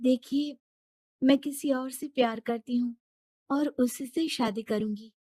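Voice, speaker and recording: A woman plays a young cartoon daughter in love, earnest and defiant. She speaks high and emphatically, in a dry, close recording. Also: a little slow, warm tone, breathy edge, dry recording.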